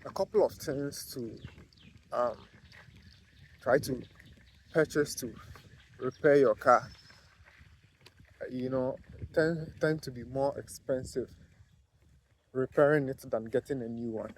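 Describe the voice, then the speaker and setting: A man from Ghana with a Ghanaian accent, talking casually to camera in a vlog, halting with many fillers and restarts. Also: medium pitch, a little hiss or hum, rough recording. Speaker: vlogger, car detailer.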